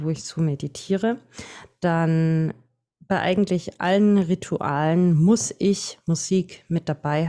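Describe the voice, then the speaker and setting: A woman in her late twenties with a Franconian German accent, a podcast guest explaining things at length in a relaxed way. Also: lowish voice. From Franconia, Bavaria. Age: 20s. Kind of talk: casual podcast chat.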